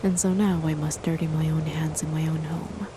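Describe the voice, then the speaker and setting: A woman playing a controlling, sinister chemist who is the listener's partner, in an ASMR horror roleplay, talking softly in a half-whisper, politely but with quiet threat. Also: deep voice, normal speed, close to an everyday voice. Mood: quietly menacing. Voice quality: soft, whispery delivery. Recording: steady rain noise behind the voice. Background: rain.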